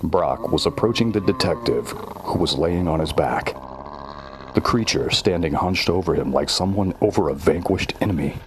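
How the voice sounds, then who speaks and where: A man tells a horror tale in a very low voice. His delivery is measured and dramatic, with each line read as scripted prose. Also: lively intonation, a little hiss or hum. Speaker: storyteller. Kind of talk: scripted horror story narration.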